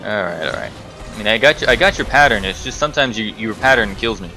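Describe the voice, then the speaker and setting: A man with a fairly low, bright and forceful voice tells a casual story while gaming. He rambles and backtracks, breaking off to curse at the game, with music faintly behind him. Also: animated, fast talker, rough recording. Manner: rambling, self-correcting, muttered swearing at the game. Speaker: gaming video host telling stories while playing. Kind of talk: casual storytime commentary over gameplay.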